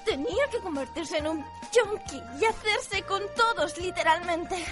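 A woman's voice: very high voice, unhurried. A woman playing a sarcastic, fed-up anime girlfriend who scolds in a radio comedy parody. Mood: exasperated. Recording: music behind the voice.

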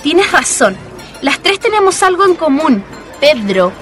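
A woman doing a teasing jilted young bride, very high and lively voice, radio play with music under it. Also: a little slow. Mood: sarcastic. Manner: teasing, sarcastic. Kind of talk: community radio drama acting. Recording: radio drama with music behind.

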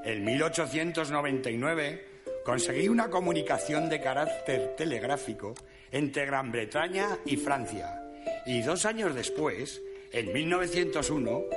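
An actor playing a boastful, aggrieved radio inventor in heaven, in a comic radio play. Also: male voice, on the high side, close to an everyday voice. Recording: loud music under the voice.

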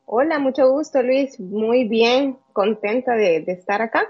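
A woman's voice: on the high side, husky, muffled recording. A woman in her early thirties with a Salvadoran Spanish accent, answering casually as an interview guest, with frequent hesitations. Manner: halting, many hesitations and trailing phrases. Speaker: podcast guest, amateur bodybuilder.

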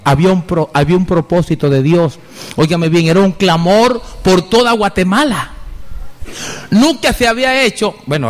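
A man's voice: high-pitched, big-room sound. A middle-aged man from Venezuela, a pastor preaching with fervor and personal testimony, calling his listeners brother again and again. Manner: fervent, repeatedly addresses listeners as brother.

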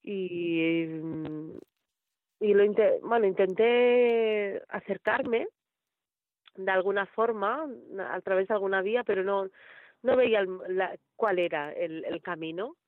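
A woman singer as an interview guest, answering in a thoughtful, hesitant way with many pauses and fillers. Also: medium pitch, no room sound. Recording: tinny dry phone-like line.

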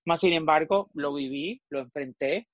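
A man's voice: fairly high pitch, moderate pace, no echo, muffled recording. A man in his forties from Costa Rica, a guest frankly telling his personal story, often trailing off and restarting. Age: 40s.

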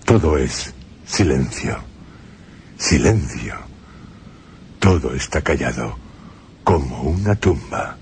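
A man with a very low voice hosts a radio drama program, speaking slowly in a measured, theatrical way with long pauses. Clear noise runs under this old broadcast recording. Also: expressive, a little mellow.

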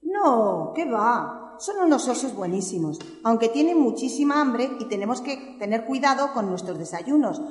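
A woman playing an excited young explorer child in an audio story for small children, with an enthusiastic storytelling manner. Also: on the high side, moderate pace, few pauses. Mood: eager, reassuring. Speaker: preschool teacher. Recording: echoey hall with long reverb.

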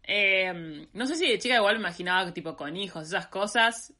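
A woman's voice: medium pitch, expressive, a little fast, pushed and bright. A woman in her twenties with a Rioplatense Argentine accent, chatting as a podcast co-host in a wry, self-deprecating, playful way. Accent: Rioplatense Spanish. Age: 20s. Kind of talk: casual chat between friends.

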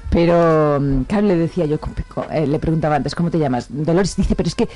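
A man co-hosting a radio show, talking haltingly with many fillers and restarts. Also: on the high side, mellow. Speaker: radio co-host. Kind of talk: radio magazine interview.

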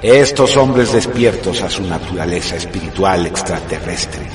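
A man's voice: deep voice, moderate pace. A man narrating a radio drama in a solemn, grandiose scripted style with dramatic emphasis. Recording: music behind the voice. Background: music.

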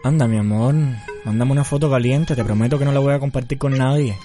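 A man's voice: medium pitch, fast talker, mellow, faint music behind, natural voice. A man playing a pushy, sweet-talking young boyfriend with a Cuban accent in an acted radio spot. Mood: coaxing.

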